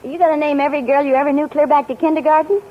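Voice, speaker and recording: A woman's voice: high-pitched, steady tone, rapid-fire, dull audio, slight background noise, lightly acted. A woman playing a patient, sly wife in a 1940s radio sitcom, who speaks in a coy, playfully withholding way. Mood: teasing.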